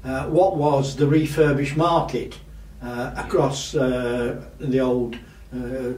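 A man, a local councillor with a loud voice, talking casually in an interview and pausing often with fillers. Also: mid-range voice, steady tone. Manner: loud voice, halting with many fillers. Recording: echoey room, distant mic.

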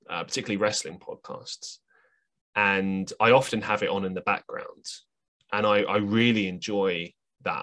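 A man's voice: fairly low pitch, a bit bright. A man hosting his own podcast, talking alone in a rambling, earnest, self-deprecating way with plenty of ums and restarts. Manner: reflective, earnest. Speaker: podcast host. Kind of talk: solo podcast monologue.

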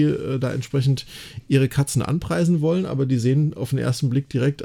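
A man's voice: mid-range voice, gravelly, warm tone. A man co-hosting a podcast, retelling and discussing a story in a chatty, colloquial German style. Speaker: podcast co-host. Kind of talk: casual discussion and retelling of an audio drama plot.

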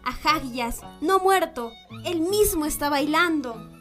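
A woman acts a devoted daughter from a village in a radio drama. Her voice sits fairly high and sounds earnest and fervent, with faint music underneath. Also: animated, slow pace, a bit bright, full character voice. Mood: proud, fervent.

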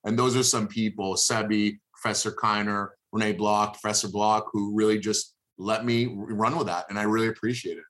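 A man in his thirties, a native Hebrew speaker from Israel, talking as an interview guest in a thoughtful, halting way with many fillers. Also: lowish voice, steady tone, a bit bright. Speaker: guest, moving company businessman. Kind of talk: casual podcast interview.

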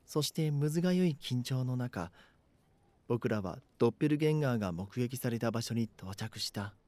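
A man's voice: medium pitch, lively intonation, moderate pace, darkish tone, no echo, natural voice. A man playing an anxious, bashful schoolboy who narrates his own story earnestly in a voice drama. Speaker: voice actor. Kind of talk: Japanese voice drama, first-person narration and dialogue.

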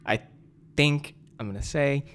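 A man's voice: medium pitch, unhurried, fairly bright tone, clear, resonant tone. A young man co-hosting a podcast, talking casually and eagerly about films and music. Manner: enthusiastic, chatty, self-aware. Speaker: podcast co-host. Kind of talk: casual chat.

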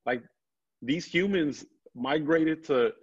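A man's voice: on the high side, husky. Lisping man, dull call audio. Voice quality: lisp. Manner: halting, rambling, peppered with slang and swearing. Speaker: podcast guest, comedian and film director. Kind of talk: casual chat, slangy storytelling. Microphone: video call.

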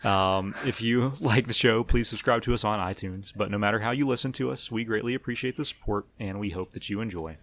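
A man hosting a casual podcast discussion, talking in a somewhat halting, hesitant way. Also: lowish voice, a bit bright, a little hiss or hum. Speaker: podcast host.

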